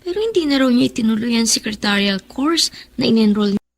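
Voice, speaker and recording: A woman playing a young woman who is fond and sympathetic toward a friend, with a creaky voice, in a radio drama. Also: on the high side, lively intonation, fast talker, over loud music. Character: young woman fondly pitying her faraway friend.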